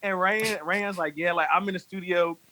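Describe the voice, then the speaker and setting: A man in his late twenties, a podcast guest, telling stories in a rambling, animated way, full of "like". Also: high-pitched, moderate pace, a crowd in the background. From North Carolina. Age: 20s. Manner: rambling, heavy use of "like", animated storytelling. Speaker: podcast guest, music manager. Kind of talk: casual chat.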